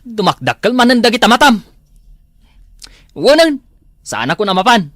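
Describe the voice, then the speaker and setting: Man playing animated, whiny Ilocano henpecked husband. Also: high-pitched, normal speed, dry recording, studio quality. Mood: pleading. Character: henpecked, whining village husband itching to go drinking. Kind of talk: Ilocano comedy drama acting.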